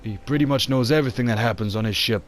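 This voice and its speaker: A man's voice: mid-range voice, fast, a little hiss or hum, natural voice. A man voicing an easygoing, protective ship's cook with a casual, friendly manner in an amateur motion comic.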